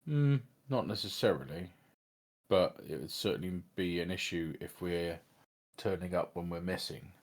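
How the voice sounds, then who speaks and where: Deep male voice, measured explainer, improvised tabletop roleplay. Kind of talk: improvised in-character discussion.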